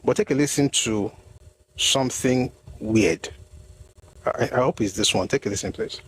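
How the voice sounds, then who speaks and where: A man's voice: mid-range voice, moderate pace, a little hiss or hum. A man giving history commentary, explaining word by word with a mocking edge.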